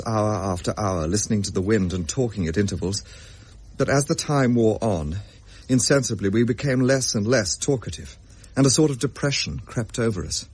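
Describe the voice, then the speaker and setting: A husky-voiced young gentleman, played by a man, haunted and shaken. He tells his tale in grave, old-fashioned phrases that halt and stammer, in the style of a period radio drama. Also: lowish voice.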